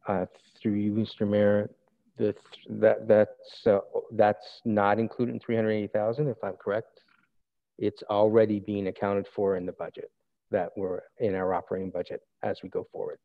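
A man's voice: lowish voice, flat delivery, moderate pace, vocal fry, no room sound. A man, a city director, answering council questions formally through the mayor. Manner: formal, addresses the mayor first. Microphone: video call. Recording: dry phone-like line.

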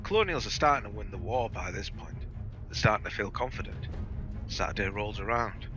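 A man with a very bright, forceful voice spins game war stories over voice chat. He is theatrical and swears freely, with faint music playing behind him. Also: on the low side, expressive, fairly quick, lo-fi sound. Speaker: gamer telling war stories. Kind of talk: animated informal storytelling over game voice chat.

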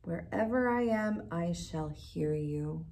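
A small, gentle butterfly, read aloud by a woman who speaks politely and sincerely. Her lines are slow and unhurried, sweet-natured and thankful, in a storybook manner. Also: fairly low pitch, animated, gravelly, far from the mic, slight background noise, heavily acted. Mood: grateful. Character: grateful gentle female butterfly.

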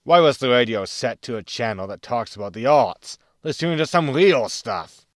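An actor playing a blunt, impatient spaceship captain in a sci-fi comedy audio drama, talking casually. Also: male voice, mid-range voice, animated, normal speed, no room sound, clean studio sound, lightly acted.

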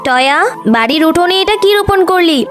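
A woman's voice: very high voice, lively intonation, normal speed. A woman voicing a scolding but caring village mother in a children's cartoon story. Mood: fond, dismissive. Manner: nagging, motherly. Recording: music behind the voice.

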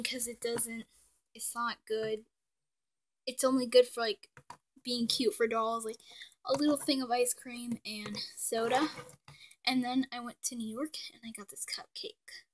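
High-voiced chatty woman showing dolls. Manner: chatty, many "like" fillers, drops to soft whispers at times. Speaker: doll collector showing her dollhouse. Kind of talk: casual show-and-tell narration. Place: indoors at home, near the dollhouse.

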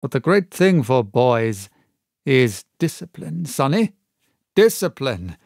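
A sly pirate whose talk sways between fawning and sneering, his wheedling lines climbing higher and louder than a plain voice, as a male reader puts him on. He is a middle-aged sailor with a maimed hand, forever playing the old friend. The read is close and dry. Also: very animated, slow pace, gravelly, no room sound, heavily acted.

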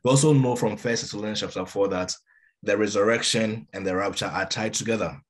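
Deep-voiced man preaching emphatically. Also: a little fast. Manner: emphatic, gestures while teaching. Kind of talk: online Bible teaching. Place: room with a window, indoors. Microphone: laptop webcam on a video call.

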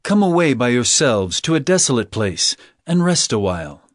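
An actor playing a calm, authoritative male teacher in a dramatized scripture reading, commanding and expressive. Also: mid-range voice, moderate pace, no room sound, clean studio sound, close to an everyday voice. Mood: calm authority. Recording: music bed behind voice.

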